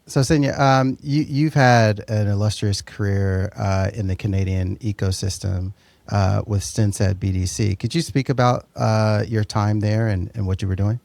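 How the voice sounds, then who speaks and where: A man co-hosting a podcast interview, asking questions in a casual, relaxed way. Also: fairly low pitch, moderate pace, vocal fry, no echo. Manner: casual, relaxed, with fillers and repeats. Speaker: podcast co-host.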